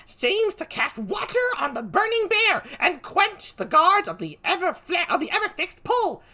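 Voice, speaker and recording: A woman acting an excitable gentleman in a theatrical read-aloud of a Shakespeare play, exclaiming and declaiming. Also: very high voice, moderate pace, heavily acted. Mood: excited, awed. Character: excitable high-voiced gentleman watching a stormy sea.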